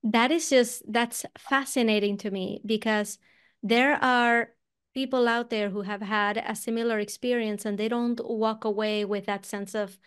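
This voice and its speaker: A woman's voice: fairly high pitch, fairly even intonation, measured pace, clear, resonant tone. A woman podcast host from Venezuela, a native Spanish speaker with a Venezuelan accent, asking curious follow-up questions. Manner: curious, engaged, asks probing follow-up questions. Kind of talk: interview conversation.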